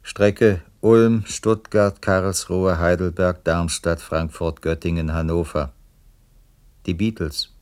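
An actor playing a detached, declarative narrator in an experimental radio play. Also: male voice, low-pitched, level tone, slow pace, a little mellow, clear, resonant tone. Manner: declarative, matter-of-fact. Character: detached male narrator announcing fragments about a trucker.

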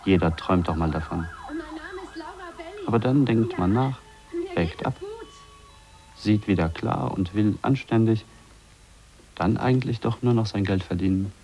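An actor playing a worldly, opinionated man in a radio play, speaking deliberately phrase by phrase. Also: fairly low pitch, warm tone, faint music behind. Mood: cynical, musing. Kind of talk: 1980s German radio drama acting.

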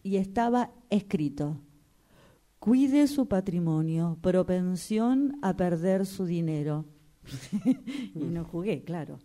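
Actress as slow, dramatic, unlucky Argentine woman. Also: fairly low pitch, expressive, warm tone. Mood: animated complaining. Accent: Rioplatense Argentine Spanish. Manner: dramatic, complaining storytelling. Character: desperate, unlucky, self-pitying woman, devoted client of a fortune-teller. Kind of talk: radio drama acting, conversational dialogue.

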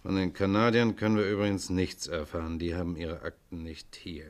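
A commanding police commissioner from a 1950s German radio crime play, acted by a man with a very deep voice. He speaks in a matter-of-fact way, as one used to giving orders. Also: level tone, fairly quick, loud music behind the voice, lightly acted. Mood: businesslike, brisk. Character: authoritative German police commissioner leading a murder inquiry.

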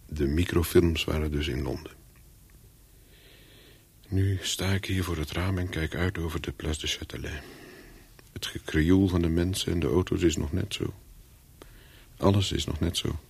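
An actor playing a brooding former secret agent in a radio play, husky and measured, haunted by his memories. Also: a bass voice, darkish tone, heavily acted. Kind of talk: radio play acting with first-person narration.

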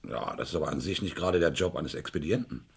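An actor playing a brisk, businesslike police inspector with a slightly husky voice in a 1970s radio crime drama. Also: male voice, extremely low pitch, expressive, heavily acted. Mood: probing, matter-of-fact.

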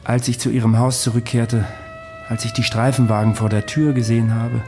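A man's voice: medium pitch, flat delivery, fairly quick, music in the background, natural voice. An actor playing a grave, regretful man telling his story intimately in a German radio drama. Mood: regretful, somber. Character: grave, regretful man recounting a past tragedy.

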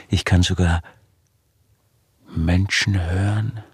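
Husky, slow, obsessive male recluse. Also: deep voice, no echo, heavily acted. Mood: insistent, obsessive. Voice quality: husky, gravelly, breathy, often near whisper. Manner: halting, brooding, insistent repetition. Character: obsessive male recluse tormented by his acute hearing. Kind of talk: intimate radio drama monologue.

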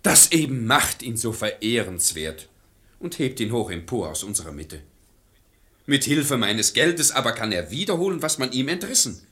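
A man's voice: medium pitch, very animated, moderate pace, bright, forceful tone. An actor playing a stubborn, irascible, domineering father in a theatrical radio play in verse, declaiming with pompous emphasis. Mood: earnest, persuading.

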